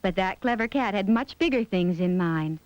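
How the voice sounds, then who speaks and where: A woman's voice: mid-range voice, animated, moderate pace, darkish tone, dry recording. A woman narrating a children's fairy tale from a script, as a storyteller. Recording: dull audio with music behind. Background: music.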